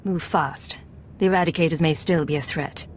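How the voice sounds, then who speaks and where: An actress playing a cool, determined imperial secret agent in a video game, with a terse, confrontational manner. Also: female voice, deep voice, steady tone, normal speed, slight background noise, natural voice. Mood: brisk.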